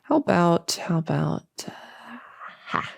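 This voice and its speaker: Chatty, creaky-voiced nerdy cultist played by a woman. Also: lowish voice, sing-song, no room sound, heavily acted. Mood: musing, awkward. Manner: chatty, halting, teasing, coddling. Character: bossy-sweet nerdy young cultist woman, playful and doting. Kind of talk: intimate roleplay audio monologue.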